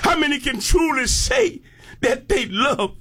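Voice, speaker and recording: High-pitched, slow, impassioned American male preacher. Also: very animated, sharp tone. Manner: impassioned, exclaiming praise between phrases. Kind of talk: fervent sermon with call and response.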